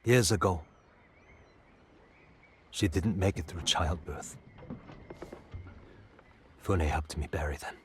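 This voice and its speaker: An actor playing a bitter, wary raider in a dramatic video game, with a gruff, sardonic, blunt manner. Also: male voice, deep voice, slow pace, long pauses, a little hiss or hum. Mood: heavy, wary.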